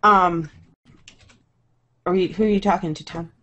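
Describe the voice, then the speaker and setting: A woman's voice: fairly low pitch, steady tone, measured pace, bright, forceful tone. A woman co-hosting a casual podcast, chatting easily and checking her mic levels. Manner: giggly, casual. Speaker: podcast co-host.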